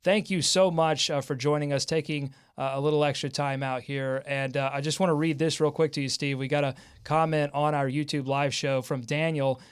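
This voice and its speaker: Clear, higher-pitched American male sports host. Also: steady tone, fairly quick, hardly any pauses. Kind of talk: live sports interview hosting.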